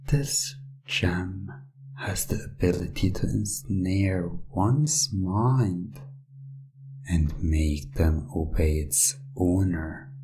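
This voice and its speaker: A man playing a smug, menacing gem owner in a hypnosis ASMR roleplay, speaking in a slow, theatrical, condescending way with a soft, breathy voice. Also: low voice, exaggerated voice. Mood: hypnotic, taunting. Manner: slow, deliberate, theatrical, condescending, with drawn-out words.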